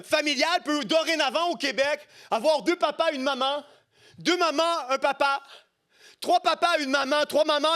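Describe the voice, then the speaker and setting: A man's voice: very high voice, fairly bright tone. A middle-aged man with a Quebec French accent preaching an impassioned sermon, emphatic and rhetorical, repeating key phrases. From Quebec, Canada.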